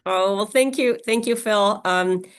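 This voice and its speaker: A woman born in Pakistan and raised in Mexico, speaking as an interviewer in an earnest, measured way with frequent fillers. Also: mid-range voice, smooth. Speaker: interviewer, organization leader. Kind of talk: conversational interview.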